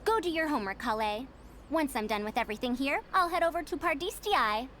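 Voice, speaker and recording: A woman's voice: high-pitched, expressive, brisk, a bit bright, slight background noise. A woman voicing a haughty senior female scholar in a video game, prim and self-important, with lofty phrasing.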